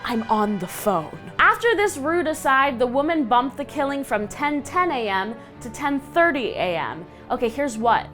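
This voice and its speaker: A woman's voice: sits high, fairly bright tone. A woman with an American accent hosting a scripted comedic countdown of creepy stories, theatrical, sardonic and slangy. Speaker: host of a web countdown show.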